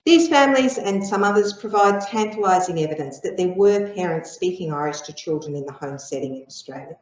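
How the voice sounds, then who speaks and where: Middle-aged woman lecturer, low voice, measured, explanatory delivery, echoey room. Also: expressive. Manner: measured, explanatory, with frequent ums. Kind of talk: academic lecture with slides. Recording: echoey room, dull lo-fi sound.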